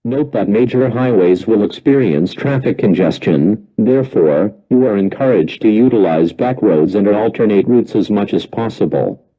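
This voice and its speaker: A man reading a scripted emergency alert announcement in a formal, measured, impersonal way. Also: fairly low pitch, lively intonation, warm tone, poor audio.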